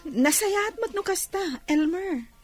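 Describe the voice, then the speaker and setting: A woman's voice: very high voice, lively intonation, slow, faint music behind, heavily acted. An actress playing a patient, devoted young Filipina village woman in a radio drama, with an Ilocano accent. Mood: doubtful, tender.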